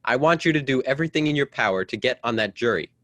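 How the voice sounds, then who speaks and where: A man playing a stuffy, domineering politician husband in a radio melodrama, with a brisk, formal, patronizing manner. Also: medium pitch, lively intonation, bright, forceful tone, no room sound. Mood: insistent, indignant. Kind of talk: old-time radio drama acting.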